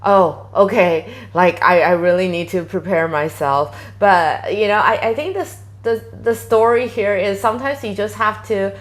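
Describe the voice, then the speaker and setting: A woman in her thirties who grew up in Taiwan, talking as an interview guest in a chatty way, with many fillers and lively quotes of herself. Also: mid-range voice, normal speed, few pauses. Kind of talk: casual interview conversation.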